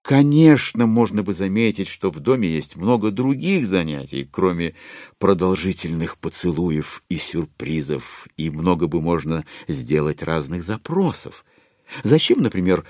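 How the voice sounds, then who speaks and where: An actor playing a wry, digressing storyteller, narrating a dramatized classic novel in an ironic, expressive, musing way. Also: male voice, low-pitched, no echo, clean studio sound. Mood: mock reproach. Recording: music under the voice.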